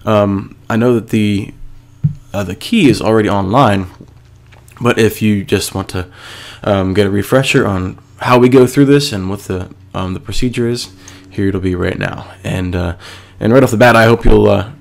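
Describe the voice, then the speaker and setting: Gravelly-voiced male teacher with a cold. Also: on the low side, moderate pace. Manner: patient, step-by-step, asks and answers himself. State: stuffy from a cold. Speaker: physics teacher. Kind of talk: screencast lesson explaining a worksheet.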